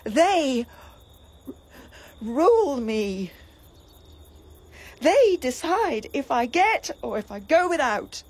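An actress playing a grieving, vengeful young princess in a classical tragedy, giving an impassioned, bitter lament that sometimes drops to a whisper. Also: female voice, high voice, sing-song, extremely slow, long pauses, heavily acted. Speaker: stage actor. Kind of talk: classical tragedy stage acting.

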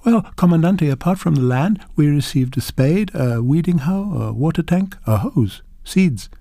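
A man's voice: lively intonation, normal speed, creaky, dark tone, no room sound. A shy elderly grandmother speaks in a quavering, lifted voice, as a male narrator puts her on. She lists her garden and tools one by one, earnest and plain. The sound is dry and close. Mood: shy, proud. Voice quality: quavering, higher voice. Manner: earnest, listing things one by one.

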